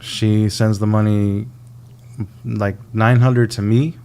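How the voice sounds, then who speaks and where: Self-deprecating low-voiced man from Texas. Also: little pitch movement, slow, gravelly, dark tone, clear, resonant tone. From Austin, Texas, US. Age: 30s. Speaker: guest.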